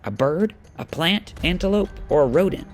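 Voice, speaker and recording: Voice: mid-range voice, animated, normal speed, soft background music, natural voice. A performer playing an anxious, earnest young boy thinking aloud during an exam in an audio drama. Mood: determined, puzzled. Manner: muttering to himself, earnest.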